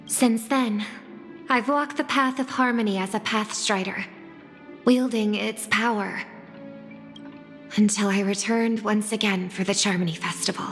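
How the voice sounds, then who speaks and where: Game role: poised, kindly young songstress. Formal, deliberate, slow delivery, music underneath. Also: female voice, medium pitch, fairly even intonation, fairly bright tone. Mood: grave, reflective. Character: graceful young woman singer, composed, earnest, kind. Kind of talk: video game character dialogue. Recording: long reverb, music behind.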